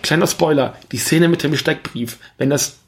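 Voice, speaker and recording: A man's voice: a little high, normal speed, vocal fry. A man co-hosting a film podcast, talking casually and searching for words as he reasons aloud.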